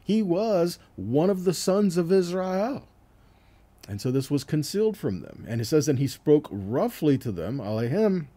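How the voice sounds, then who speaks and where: Male Bible teacher for scripture sermon: mellow tone, very animated intonation, explanatory delivery with frequent check-ins. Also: a little high, measured pace. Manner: explanatory, reads verses aloud and checks in with "okay" and "right".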